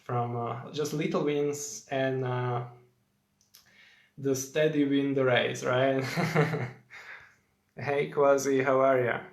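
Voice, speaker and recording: A young man with a Slovak accent talks loosely through a live stream, using plenty of fillers and breaking off to greet viewers. His voice sits at an ordinary middle pitch. The room around him sounds roomy and echoey. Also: little pitch movement, slow, long pauses.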